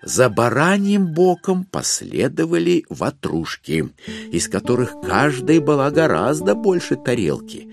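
A man narrating a dramatized classic satirical novel as a wry storyteller, with expressive, deliberate phrasing. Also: mid-range voice, creaky, over music, exaggerated voice. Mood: wry, relishing.

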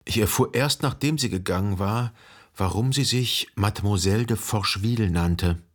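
An actor playing a reflective, observant gentleman who narrates his memories in a literary radio drama, measured and articulate, with a husky voice. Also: on the low side, natural voice. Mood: detached, measured.

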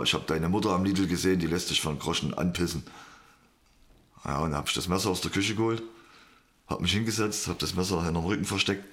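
A man with a low voice answers an interviewer. He talks casually and plainly, in an unembellished, matter-of-fact manner. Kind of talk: casual, plain-spoken personal account.

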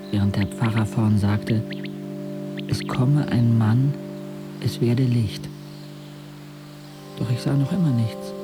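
Radio drama lead: a brooding, sardonic young narrator, inward-looking, medium register, music underneath. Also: male voice, mid-range voice. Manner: introspective, sardonic, literary phrasing. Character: brooding, disillusioned teenage boy narrating his own life.

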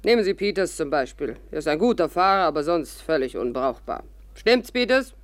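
Imperious, low-voiced old grande dame. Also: female voice, very animated, fairly quick, no room sound. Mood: imperious, scolding. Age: older. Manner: imperious, scolding, declamatory. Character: haughty, bossy old actress, a theatrical grande dame. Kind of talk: 1950s German radio drama acting.

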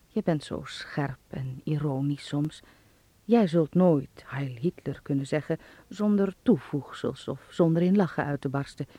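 Husky, worried older relative. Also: female voice, low-pitched, mellow, no echo, heavily acted. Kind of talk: letter read aloud in radio drama.

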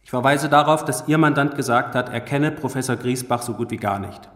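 An actor in a radio crime drama playing a formal, insistent and pointed public prosecutor. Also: male voice, mid-range voice, fairly quick, fairly bright tone, natural voice. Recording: echoey hall with long reverb.

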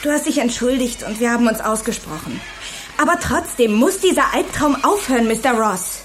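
Actress as a high-voiced, earnest teenage schoolgirl. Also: expressive, normal speed, a little hiss or hum, natural voice. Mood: earnest, concerned. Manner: earnest, insistent. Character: earnest, troubled teenage schoolgirl standing her ground. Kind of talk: German radio drama acting.

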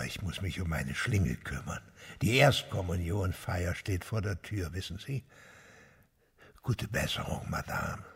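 Husky, gravelly old-school village priest. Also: male voice, deep voice, full character voice. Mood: distracted, polite. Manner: chatty, matter-of-fact, distracted. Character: stout, prosaic village priest, kindly but obtuse. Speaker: actor in a radio play. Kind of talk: German radio drama acting. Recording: long echoing reverb.

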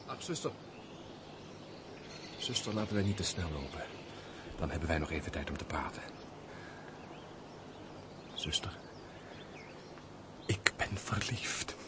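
Lovesick aristocratic major, fervent and formal, low voice, period radio play with faint hiss. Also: male voice, animated, unhurried, long pauses. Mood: lovesick, urgent. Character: ardent, lovesick aristocratic major, earnest and impulsive. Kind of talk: Dutch radio play, period stage dialogue.

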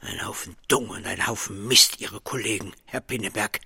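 An actor playing a blustering, bullying small-town merchant boss, gruff and sarcastic, in a German radio drama. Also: male voice, lowish voice, normal speed, bright, forceful tone, heavily acted. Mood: contemptuous.

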